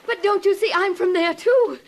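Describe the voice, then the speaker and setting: Frantic exiled mother, extremely high, shrill, pleading, vintage radio drama. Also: normal speed. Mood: desperate. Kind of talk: 1950s radio drama acting.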